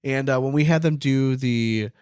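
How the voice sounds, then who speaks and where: Male podcast co-host, medium pitch, informal storyteller, very little room sound. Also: moderate pace, darkish tone, smooth, no room sound, studio quality. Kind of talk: casual podcast chat.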